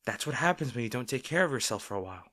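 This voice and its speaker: Man acting a caring, gently firm boyfriend. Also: mid-range voice, sing-song, racing. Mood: tender, coaxing. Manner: tender, coaxing, gently insistent. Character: caring, gently firm young boyfriend soothing an overworked partner. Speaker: audio roleplay performer. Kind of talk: boyfriend roleplay audio, intimate one-sided dialogue.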